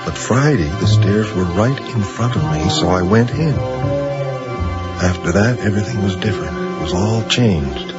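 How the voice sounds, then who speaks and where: An actor playing a dazed middle-aged male patient in a radio drama, halting and musing over a strange story. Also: fairly low pitch, expressive. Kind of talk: 1980s radio drama acting. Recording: dull 1980s radio broadcast, loud music.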